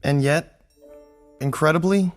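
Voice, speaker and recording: Slow, portentous radio storyteller, a man acting. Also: fairly high pitch, lightly acted. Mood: solemn, mysterious. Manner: portentous, deliberate pauses. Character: solemn, portentous male storyteller of strange tales. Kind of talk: dramatic scripted radio narration. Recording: studio radio play with music underneath.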